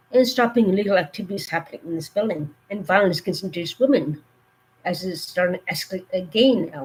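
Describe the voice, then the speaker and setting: Hoarse woman giving earnest warnings. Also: medium pitch, poor audio. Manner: earnest, rambling with fillers. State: hoarse from a cold. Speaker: live streamer. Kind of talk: casual live-stream talk.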